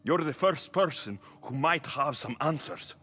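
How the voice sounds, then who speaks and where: An actor playing a determined mercenary captain in a video game, firm and quietly pressing. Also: male voice, on the high side, lively intonation, a little slow, slightly rough, heavily acted. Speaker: game protagonist.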